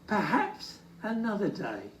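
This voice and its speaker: A storybook reader voicing a kindly, sensible old male toy bear with a gentle, reassuring manner. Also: mid-range voice, wildly swooping pitch, slow pace, slight background noise, voice pushed up. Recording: echoey room, distant from mic.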